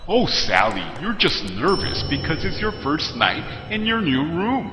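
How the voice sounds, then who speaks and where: A man playing the warm, reassuring father of a young girl in an expressive storybook reading. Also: on the high side, unhurried, echoey room, in a large hall, faint music behind, pitched above the performer's own voice, exaggerated voice. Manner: soothing, expressive.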